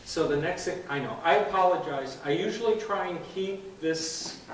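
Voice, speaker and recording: A man walks a club audience through a computer demo, chatty and patient, checking in with a steady "okay." He sounds distant from the mic, with strong room echo and a faint hiss behind him. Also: sits high, moderate pace. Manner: chatty, explains step by step with frequent "okay". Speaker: club meeting presenter. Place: meeting room, heard from a distance. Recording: echoey room, far from the mic.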